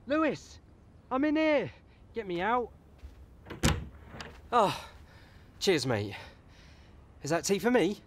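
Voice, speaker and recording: A man's voice: high voice, huge pitch swings, slow pace, long pauses, slight background noise, exaggerated voice. An actor playing a blunt, cheeky young British man in a naturalistic radio soap. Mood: cheeky, irritable. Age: young adult.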